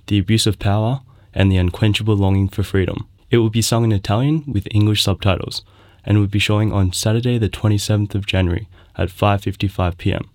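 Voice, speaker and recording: A man's voice: lowish voice, a little mellow. A man reading scripted arts listings as a community radio presenter. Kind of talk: scripted events listing narration.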